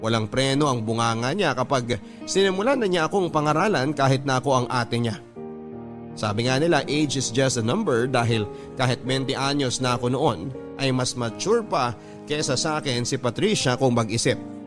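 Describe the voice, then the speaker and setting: A man's voice: medium pitch, moderate pace. A man with a Filipino accent reading a listener's letter as scripted, dramatic radio narration. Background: music.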